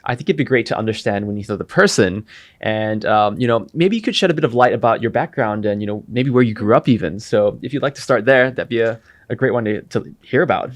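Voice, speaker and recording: A man's voice: lowish voice, lively intonation, quick pace. A man hosting a podcast interview, friendly and casual, with some "uh" and "you know.". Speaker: podcast host.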